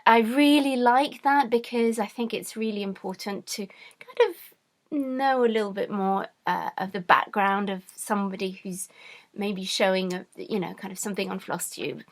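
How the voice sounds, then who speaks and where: Middle aged lady from france w british parents, chatting on her craft vlog, medium pitch, bit hesitant. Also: moderate pace. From France, born to British parents. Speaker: host, cross-stitch designer. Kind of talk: casual vlog introduction.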